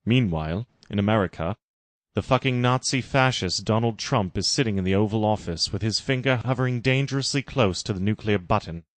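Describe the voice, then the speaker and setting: A man's voice: on the low side, fairly quick, no room sound. A man giving a scripted narration of a parody story. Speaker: reader.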